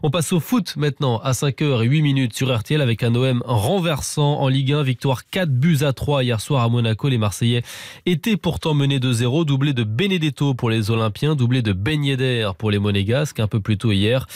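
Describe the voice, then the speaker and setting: Brisk male radio news anchor, dry sound. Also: medium pitch, darkish tone, no room sound. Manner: brisk, with frequent fillers and restarts. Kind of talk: radio newscast, morning bulletin. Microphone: studio microphone.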